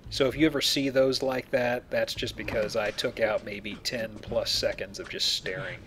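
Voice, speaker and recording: A man's voice: medium pitch, fairly even intonation, moderate pace, fairly bright tone, a little hiss or hum. A man hosting a casual Let's Play, explaining the game and joking as he goes. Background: video game sounds.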